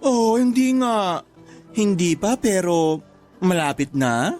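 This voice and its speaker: A blunt, teasing female friend in a radio drama. The delivery is playful and drawn out, at a middling pitch, with music behind. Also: animated, takes it slowly, darkish tone. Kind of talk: Tagalog radio drama dialogue.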